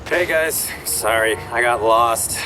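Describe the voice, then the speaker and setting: An actor playing an easygoing, wisecracking heist accomplice in a video game. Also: male voice, medium pitch, slow pace, close to an everyday voice. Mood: breezy. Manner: laid-back, wisecracking. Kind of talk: video game voice acting, laconic banter. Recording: distant voice amid noisy street ambience.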